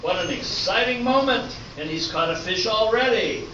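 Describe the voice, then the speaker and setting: A man's voice: sits high, lively intonation, normal speed, pushed and bright. A man playing an upbeat, patient game show host in an amateur comedy radio play, with a showy announcer delivery. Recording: roomy, distant, noisy dull stage recording.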